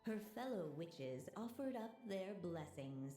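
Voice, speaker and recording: A woman playing an elegant storybook narrator in a video game, telling a fairy tale in a measured, theatrical, gently arch way. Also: fairly low pitch, big pitch swings, reverberant, soft background music. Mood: storytelling.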